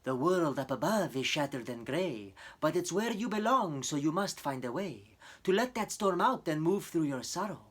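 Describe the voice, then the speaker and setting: A man's voice: a little high, sing-song, normal speed, few pauses, fairly bright tone, voice pushed up. A man voicing a small, helpful spider in a children's storybook, reassuring and encouraging. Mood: comforting. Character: helpful little storybook spider giving comforting advice.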